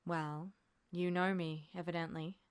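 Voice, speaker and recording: A woman voicing an older military man, a smug colonel who talks in a measured, earnest, insistent way, in an audiobook story. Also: on the low side, little pitch movement, clean tone, no room sound, studio quality, pitched below the performer's own voice, close to an everyday voice. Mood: smug, teasing. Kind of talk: fan fiction audiobook dialogue.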